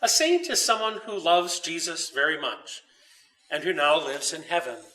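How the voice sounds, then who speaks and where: A man voicing a kindly, grandfatherly saint who speaks from an icon in a storybook, gently explaining and reassuring. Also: on the high side, expressive, normal speed, recorded from a distance, poor audio. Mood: gentle teaching.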